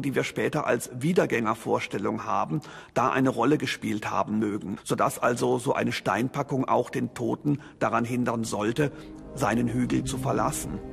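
Very gravelly, husky man in a hall. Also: mid-range voice. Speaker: expert interviewee. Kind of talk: explanatory documentary interview. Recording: reverberant hall, faint music behind.

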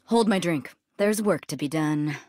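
An actress voicing a cocky, wry female bounty hunter sniper from a video game, with a swaggering, deliberate delivery. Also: low-pitched, a little mellow, no room sound, clean studio sound. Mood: wry, confident. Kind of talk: video game voice lines, short combat barks and quips. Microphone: close studio microphone, dry.

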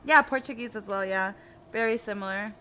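A woman's voice: medium pitch, brisk, sharp tone, slight background noise. A woman in her twenties from the United States, chatting casually with her stream viewers and reading their messages aloud while she plays. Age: 20s. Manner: chatty, laughs often, reads chat aloud.

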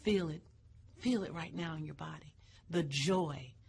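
A woman motivational speaker leads a guided meditation in an unscripted, soothing and deliberate way, leaning on emphatic phrases and repetition. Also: low voice, vocal fry, darkish tone, breathy edge.

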